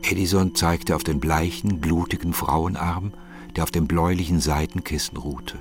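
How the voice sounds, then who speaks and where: A man giving a measured, solemn scripted narration of a literary audiobook. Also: sits low, fairly even intonation. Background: music.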